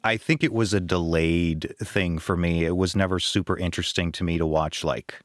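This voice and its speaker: A man co-hosting a podcast in casual chat, animated, laughing loudly and trailing off mid-thought. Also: low voice, normal speed, no echo, clean studio sound. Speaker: podcast co-host.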